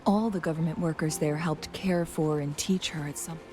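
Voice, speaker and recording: A woman playing a composed, serious young companion in a video game, speaking in a measured, thoughtful, earnest way. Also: low-pitched, fairly even intonation, close to an everyday voice. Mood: solemn, sympathetic. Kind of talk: scripted video game character dialogue. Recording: voice over game music.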